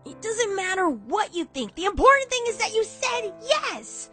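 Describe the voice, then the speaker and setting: A woman playing a brash, excitable young female pegasus pony in an animated, emphatic fan audio drama style. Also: very high voice, normal speed, soft background music, exaggerated voice. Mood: elated, excited. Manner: emphatic, emotional, impulsive.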